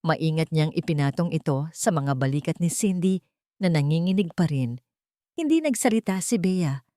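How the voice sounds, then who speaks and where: A woman's voice: low-pitched, animated, normal speed, mellow, no room sound, clean studio sound. A woman storyteller reading scripted narration of a dramatic love story.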